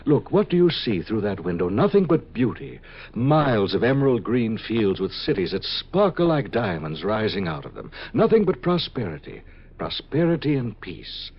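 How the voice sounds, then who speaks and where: Earnest, husky lovestruck future scientist. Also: male voice, on the low side, sing-song, moderate pace. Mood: pleading wonder. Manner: ardent, persuasive, theatrical. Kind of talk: 1950s radio drama acting. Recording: dull 1950s radio recording.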